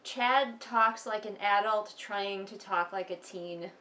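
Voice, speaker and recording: A woman's voice: medium pitch, slow pace, pushed and bright, roomy sound, a little hiss or hum. A woman giving casual, mocking commentary as she reads along with a book, sarcastic and exasperated. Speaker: host of a book read-along.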